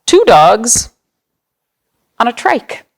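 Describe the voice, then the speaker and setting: Female children's storytime reader: slow, very expressive delivery, simple explanations, plenty of questions to little listeners. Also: a little high, creaky. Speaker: children's librarian hosting storytime. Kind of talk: read-aloud storytime for young children, with questions and counting.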